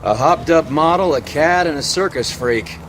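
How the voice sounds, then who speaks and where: A man's voice: fairly high pitch, moderate pace, a bit bright, slight background noise, close to an everyday voice, low-quality recording. An actor playing a wry, cynical 1940s police detective in a video game, sardonic and slangy, needling his partner. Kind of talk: cinematic game dialogue acting.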